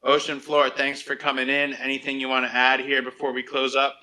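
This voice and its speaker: Bright, forceful male podcast host. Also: medium pitch, monotone, a little fast, low-quality recording. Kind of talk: live online radio interview hosting.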